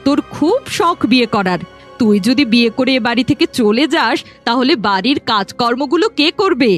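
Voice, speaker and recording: A woman's voice: high-pitched, expressive, normal speed, soft background music. A woman voicing a cruel, domineering middle-aged stepmother in a fairy-tale cartoon, scornful and commanding. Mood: angry, scolding. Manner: scolding, commanding.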